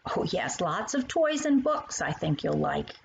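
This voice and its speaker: A woman's voice: lowish voice, big pitch swings, a little slow, vocal fry, slight background noise, full character voice, poor audio. A woman voicing a kindly, playful older woman who patiently explains things to a young child in a storybook. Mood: fond teasing.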